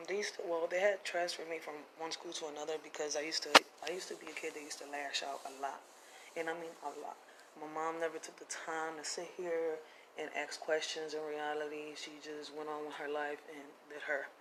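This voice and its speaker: A woman speaks in a plainspoken, confessional way. Her pitch stays level and even, with little rise or fall. A faint hiss of noise sits under the voice. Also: brisk. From Puerto Rico, raised in Philadelphia. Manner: plainspoken, confessional, casual slang. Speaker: vlogger telling her life story. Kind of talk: personal testimony to camera.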